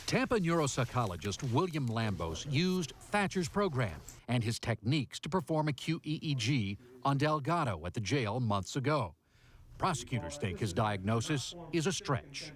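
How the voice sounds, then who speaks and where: A man's voice: medium pitch, very animated, normal speed. A man with an American accent reading a scripted television news report as a reporter.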